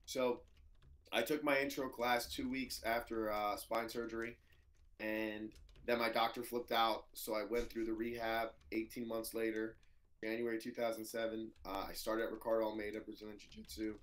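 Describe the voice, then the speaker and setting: A hoarse man in his thirties with a slightly low voice talks in a loose, rambling way. He tells stories as a guest, leaning on fillers and the odd swear word. His throat sounds worn out. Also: flat delivery, normal speed, fairly bright tone. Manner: loose, filler-heavy storytelling, swears freely. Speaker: jiu-jitsu professor, guest. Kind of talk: casual interview chat.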